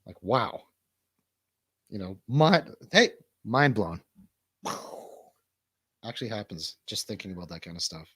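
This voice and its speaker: Male talk host, rambling and bantering, very animated intonation, close dry sound. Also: lowish voice, measured pace, pauses often, breathy edge, no room sound. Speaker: radio show host and DJ. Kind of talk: casual chat.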